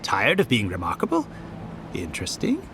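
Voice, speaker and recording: An actor playing an eccentric, smug, inquisitive philosopher in a video game, arch and theatrical. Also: male voice, on the low side, wildly swooping pitch, normal speed, noisy background. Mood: probing, smug. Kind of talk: video game cutscene dialogue.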